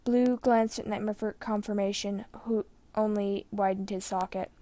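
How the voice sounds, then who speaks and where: A woman's voice: mid-range voice, fairly even intonation, normal speed, mellow, dry recording. A woman reading fan fiction aloud as the narrator, telling the story dramatically. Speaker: podfic narrator.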